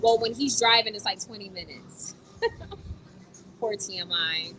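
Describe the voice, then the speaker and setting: A woman's voice: medium pitch, lively intonation, slow pace, fairly bright tone, faint music behind. A young American woman chatting casually on a phone live stream, blunt and outspoken, answering her chat. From United States. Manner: chatty and blunt, mimics others in quotes, swears. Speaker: vlogger reading live chat. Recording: noisy with passing vehicles.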